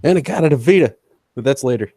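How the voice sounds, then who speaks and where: A man trading crude jokes on a casual chat stream, loud and swearing freely. His voice is mid-pitched and the sound is dry with no room around it. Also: expressive, rapid-fire, no echo. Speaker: podcast co-host.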